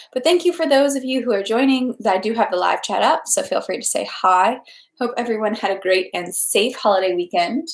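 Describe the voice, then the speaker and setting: Woman livestream host, echoey room. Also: mid-range voice. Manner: informal, rambling, self-interrupting. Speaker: host of a read-aloud livestream. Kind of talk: casual livestream chat, then audiobook-style reading.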